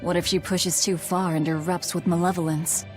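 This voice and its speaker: A woman's voice: on the low side, little pitch movement, moderate pace, faint music behind, natural voice. A woman playing a brusque, guarded, sardonic young female fighter in a video game.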